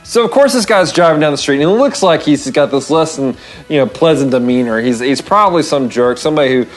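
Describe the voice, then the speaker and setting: An American man in his thirties hosting a vlog, casually telling a story with expressive emphasis and repeated phrases. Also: a little high, fast, faint music behind. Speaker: show host. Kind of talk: casual storytelling with devotional encouragement. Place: small wood-panelled room, indoors.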